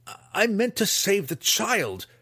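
A man reading an audiobook, voicing a defiant young male dark elf warrior who stammers and strains his words. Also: medium pitch, big pitch swings, moderate pace, slightly rough, dry recording, clean studio sound, pitched above the performer's own voice. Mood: stammering. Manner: stuttering, strained. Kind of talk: audiobook character voice.

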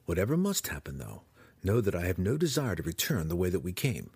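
Man voicing a husky, cautious dark elf. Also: deep voice, big pitch swings, no echo, exaggerated voice. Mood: cautious, hushed. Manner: measured, cautious, often hushed. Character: calm, courteous male dark elf warrior. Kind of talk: audiobook fantasy character voice.

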